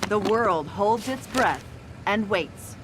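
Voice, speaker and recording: An actress playing an earnest, dramatic television news reporter in a video game. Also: female voice, mid-range voice, expressive, slow pace. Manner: earnest, dramatic newsreader delivery. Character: brisk, dramatic female TV news reporter, adult. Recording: clear outdoor street noise.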